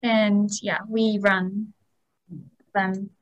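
A woman with a Japanese accent answering casually in an interview, in careful, halting second-language English with polite phrasing. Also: mid-range voice, level tone, muffled recording. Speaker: real estate agent, interview guest. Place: on a video call.

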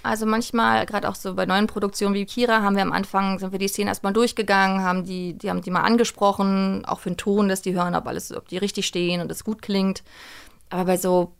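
Woman, casual interview guest, colloquial, detailed explanations, creaky edge, medium pitch. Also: little pitch movement, fast. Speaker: radio play director and voice actress, interview guest. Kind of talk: casual studio interview chat.

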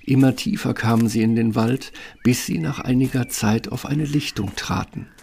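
A man's voice: mid-range voice, moderate pace, vocal fry, warm tone, breathy edge. A man reading a scripted fairy-tale narration for an audio play.

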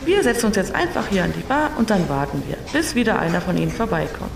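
A woman's voice: medium pitch, very animated, fast, vocal fry, noisy background. A woman playing a determined, irritable traveller with a dry, resolute manner in a sci-fi audio drama. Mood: weary, dry. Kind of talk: German science-fiction audio drama. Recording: roomy, distant mic, music behind.

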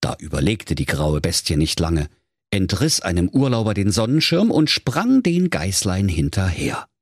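A male storyteller narrates a comic fairy tale with mock solemnity. His voice is fairly low and raspy, his melody rises and falls widely, and the recording is dry and close. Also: big pitch swings, moderate pace, dry recording.

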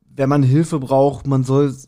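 Male podcast co-host, medium pitch, colloquial and explanatory, relaxed conversational reviewing. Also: slow pace. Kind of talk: casual review chat about audio dramas.

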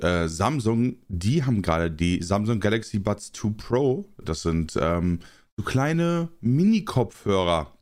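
Man with a head cold reading a goofy ad. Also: darkish tone. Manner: playful, exaggerated pitch swings in the ad read. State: has a cold. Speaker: podcast co-host reading the sponsor spot. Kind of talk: jokey casual ad read.

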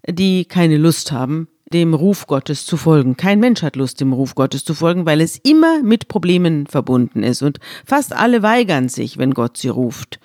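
A woman's voice: low voice, warm tone, no echo, studio quality. A woman talking thoughtfully about a Bible story, recounting an anecdote and reflecting on it in a conversational discussion. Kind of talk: conversational religious podcast discussion.

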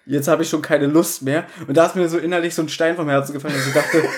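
A man's voice: on the high side, steady tone, quick pace. A man chatting casually and jokingly as a podcast co-host, sometimes quoting others or going through his notes. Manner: joking, mimics others in mock quotes, reads out notes.

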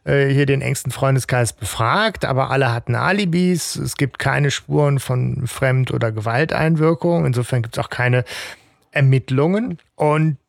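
Gravelly-voiced man recapping a case. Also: medium pitch, normal speed, no echo, clean studio sound. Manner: recaps plot facts with frequent "ne?" and "äh". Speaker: podcast host. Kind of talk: casual chat retelling a radio play.

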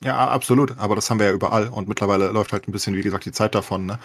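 Fast, husky, lowish-voiced man. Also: fairly even intonation. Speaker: podcast co-host. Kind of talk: casual discussion.

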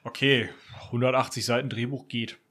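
A man's voice: medium pitch, fairly even intonation, moderate pace, a bit bright. A man chatting as an author guest on a podcast in a colloquial, jokey way. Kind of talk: casual interview chat.

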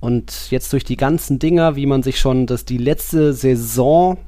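A man's voice: medium pitch, measured pace, warm tone, no room sound, studio quality. A man co-hosting a football podcast, talking in a colloquial, wry, rambling way. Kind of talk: casual podcast discussion.

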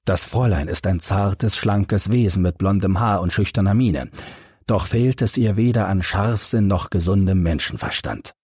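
Male audiobook narrator, measured and formal, husky mid-pitched voice, very dry close recording. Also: darkish tone, no room sound. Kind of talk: scripted literary narration.

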